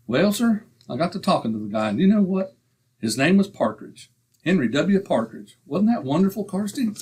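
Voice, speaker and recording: A man acts out a blustery middle-aged husband from an old-time radio comedy. He is slangy and boastful, given to grumbling, in a raised voice with a husky edge. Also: high voice, big pitch swings, moderate pace, darkish tone, heavily acted.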